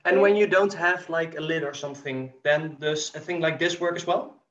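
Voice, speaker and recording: A man's voice: a little high, normal speed, a bit bright, muffled recording. Young man, chatty and upbeat. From Netherlands. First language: Dutch. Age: young adult. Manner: chatty, laughs easily. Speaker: guest. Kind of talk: casual video call chat. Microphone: laptop webcam on a video call.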